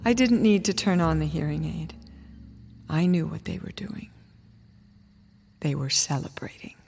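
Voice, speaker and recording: An actress playing a frightened but steely, defiant wife in a radio drama, speaking deliberately and sometimes near a whisper. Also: female voice, sits low, expressive, creaky, darkish tone, faint music behind, exaggerated voice. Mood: wary, resolute. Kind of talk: dramatic first-person radio drama narration and dialogue.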